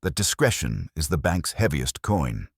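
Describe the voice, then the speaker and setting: A man performs a discreet bank manager in an audiobook tale. He is pompous and guarded, choosing formal words in a low, husky voice with marked rises and falls. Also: big pitch swings, a little slow, dry recording, studio quality, full character voice.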